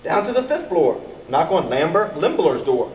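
A middle-aged man reading a children's storybook aloud in an expressive, deliberate manner. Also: fairly high pitch. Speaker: elementary school staff member reading to children. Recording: echoey, distant mic with background noise.

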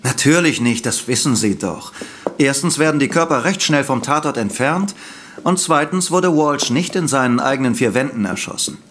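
Male actor as helpful policeman, audio drama, medium pitch, expressive melody, matter-of-fact. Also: normal speed, a little hiss or hum, lightly acted. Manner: helpful, factual, discreet. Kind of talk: German audio drama acting.

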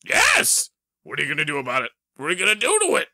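A man's voice: a little high, huge pitch swings, quick pace, bright, forceful tone, no room sound, studio quality, exaggerated voice. A man voicing a panicky, whiny crook in a comic book dramatic reading, exclaiming shrilly. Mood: panicked. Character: panicky, whiny male crook, terrified and excitable.

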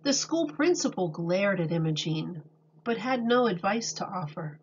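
A woman reading a picture book aloud to children like a teacher, deliberate and expressive. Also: medium pitch, a little hiss or hum. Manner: deliberate, expressive, explains words for young children. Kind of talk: read-aloud storybook narration. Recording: dull lo-fi recording.

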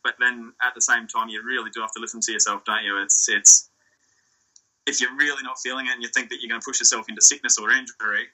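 A young Australian man, a surf ski champion, answering interview questions in a relaxed, rambling way over a video call from a small indoor room. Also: medium pitch, fast, poor audio. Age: young adult. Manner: relaxed, rambling, with restarts and fillers. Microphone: laptop or phone on a video call.

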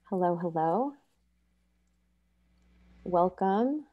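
A woman's voice: fairly low pitch, expressive, drawn-out words, narrow, tinny audio. A woman hosting a webinar over a video call, welcoming attendees and covering housekeeping in a chatty way. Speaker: webinar host, marketing manager.

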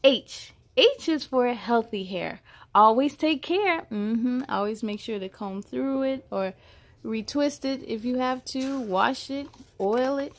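Female story-time host, higher-pitched, unhurried delivery, encouraging read-aloud for kids. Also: animated. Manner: encouraging, affirming, addresses young listeners directly. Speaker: host reading aloud for children. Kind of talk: children's picture book read-aloud with casual comments.

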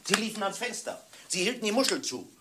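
A man playing a stern, methodical police inspector in a German radio crime drama, speaking emphatically and deliberately. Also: high-pitched, sing-song, background noise. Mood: accusing. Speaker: actor in a radio crime play.

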